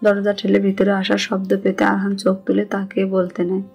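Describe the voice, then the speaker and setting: A woman's voice: medium pitch, completely flat delivery, brisk, gravelly, a little mellow, slightly rough. A woman with a Bangladeshi accent reading scripted narration of a romantic story. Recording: music under the voice.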